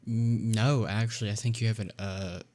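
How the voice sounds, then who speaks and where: A man's voice: lowish voice, steady tone, moderate pace, a little mellow. A young man chatting on a podcast in an earnest, encouraging way, hesitating with ums as he talks. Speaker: YouTuber and podcast co-host. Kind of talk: casual chat.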